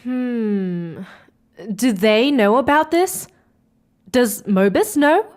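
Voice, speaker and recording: An inquisitive female space explorer with a high, very lively voice. She takes her time over her lines in a hesitant, questioning way, and has an excitable temper suited to sci-fi audio drama. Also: very slow. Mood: curious, uneasy.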